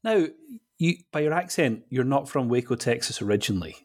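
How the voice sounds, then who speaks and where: A man's voice: medium pitch, animated, a little fast, darkish tone. A man hosting an interview podcast, introducing and questioning in conversation. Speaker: podcast host. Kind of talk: interview chat.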